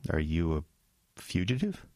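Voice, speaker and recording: An earnest young pastor, acted by a man, insistent and easily rattled. His fairly low voice swings up and down with feeling, in a closely recorded audio drama. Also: big pitch swings, slow, darkish tone, no room sound, studio quality, exaggerated voice. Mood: bewildered. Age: young adult. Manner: earnest, stammering when rattled.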